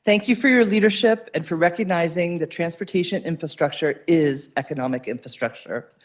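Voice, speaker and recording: A woman's voice: on the low side, a little fast. A woman giving a prepared, formal public comment in a meeting room. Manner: formal, reading a prepared statement.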